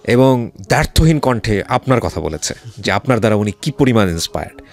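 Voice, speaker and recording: A man's voice: lowish voice, animated, brisk, gravelly. A man with a Bangladeshi accent hosting a radio interview, respectful and effusive, repeating phrases for emphasis. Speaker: radio show host, interviewer. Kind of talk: radio interview hosting, addressing guest and listeners. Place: radio studio. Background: music behind the voice.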